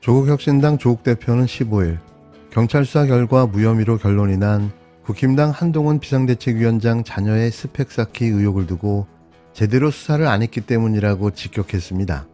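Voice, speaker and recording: A man reading a scripted news commentary in a steady, sharply opinionated tone. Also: mid-range voice, fairly quick, faint music behind.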